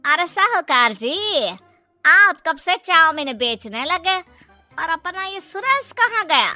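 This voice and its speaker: A woman voicing chatty, excitable village customers in an animated moral story, with an exclaiming delivery. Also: extremely high pitch, slow pace, no room sound, faint music behind, voice pushed up, heavily acted. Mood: curious.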